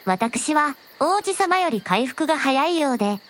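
A woman playing a gentle, very polite dragon princess with a softly teasing manner in a scripted audio drama. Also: sits high, very animated, measured pace, exaggerated voice. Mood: gentle, earnest. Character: gentle polite dragon princess, a shrine maiden's alternate self.

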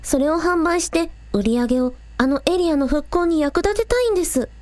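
Woman as a spirited high-voiced village idol. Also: animated, a little fast, darkish tone, dry recording. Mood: eager, polite. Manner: polite to superiors, breezy with friends. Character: spirited, earnest young village idol, younger sister. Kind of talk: radio drama acting.

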